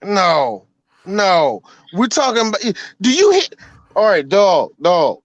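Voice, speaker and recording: A man co-hosting a casual podcast over a call, excited and emphatic, swearing freely. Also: sits high, slow, no echo, lo-fi sound. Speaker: podcast co-host. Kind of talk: casual chat.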